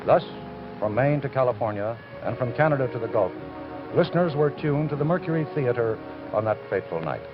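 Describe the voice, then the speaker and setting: A man's voice: medium pitch, expressive, fairly quick, close to an everyday voice. A man acting as a grave narrator, delivering a solemn scripted account in a documentary style. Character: grave male narrator of a true historical account. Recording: dull lo-fi old television audio, music behind.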